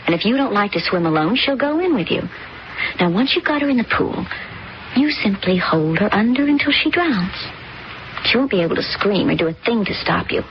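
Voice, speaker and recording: A woman's voice: mid-range voice, expressive, a little fast, slight background noise, natural voice. An actress playing a controlling young woman who plots a murder, in an old radio drama with dull lo-fi sound. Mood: scheming. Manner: cold, controlling, persuasive. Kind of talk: 1970s radio drama acting.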